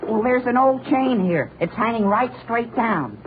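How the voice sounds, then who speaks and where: A man's voice: very high-pitched, animated, unhurried. A man playing a whiny, gullible teenage boy with a youthful voice and American accent in old radio comedy. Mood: plaintive, eager. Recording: tinny, faintly noisy old radio recording.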